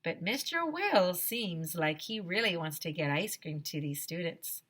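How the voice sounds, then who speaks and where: A woman teacher reading a children's story aloud in an expressive, dramatic, emphatic storytelling manner. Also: fairly low pitch, measured pace.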